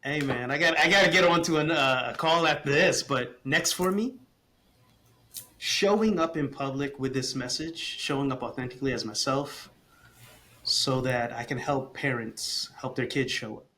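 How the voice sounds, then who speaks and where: A man's voice: medium pitch, moderate pace, bright, forceful tone. A man guest on a casual podcast, talking earnestly and expressively, often repeating a phrase while he gathers his thoughts. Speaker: guest, communication coach for parents.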